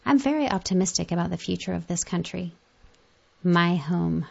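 Low, creaky-voiced woman reading articles aloud. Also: lively intonation, normal speed. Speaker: reader of magazine articles. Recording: dull, muffled lo-fi audio.

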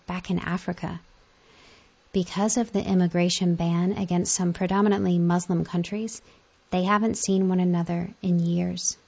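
A woman with a fairly low voice reads magazine articles aloud. There is a pronounced creak in her delivery, and the recording is somewhat dull and muffled. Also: a little mellow.

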